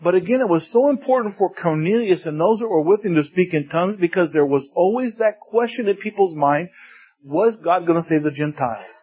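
A man's voice: high-pitched, lively intonation, brisk, breathy edge. A man preaching a conversational teaching sermon to a congregation, often asking them questions and joking in passing. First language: English. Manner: conversational, asks the audience questions, jokes in passing. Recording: dull lo-fi recording.

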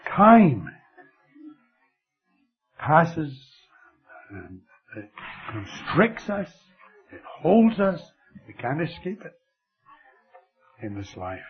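An older man with a Scottish accent preaching a sermon, slow and expressive, letting his voice fall very quiet at the ends of phrases. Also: fairly high pitch, husky. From Scotland. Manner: slow, lively delivery with dramatic drops to near whisper. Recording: thin phone-like radio broadcast.

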